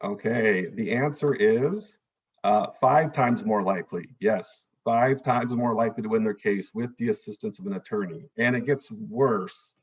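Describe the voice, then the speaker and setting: A man with a somewhat low, husky voice walks listeners through the facts in an earnest town hall talk, pausing often on "um" and "uh." His audio comes through muffled and lo-fi, as on a video call. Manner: earnest, explanatory, with fillers. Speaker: immigration attorney.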